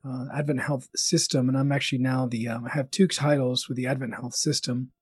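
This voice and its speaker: Husky-voiced man, dry close sound. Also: mid-range voice, flat delivery, brisk, dry recording. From Florida, United States. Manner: hesitant, many fillers and restarts. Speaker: colorectal surgeon, podcast guest. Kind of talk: casual interview talk.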